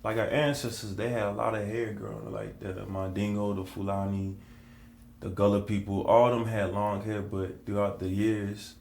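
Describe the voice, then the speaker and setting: A man's voice: fairly low pitch, flat delivery, moderate pace, creaky, a little mellow, roomy sound, slight background noise. A man co-hosting a podcast, talking in an easygoing way in loose, run-on phrases. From United States. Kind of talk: casual conversation.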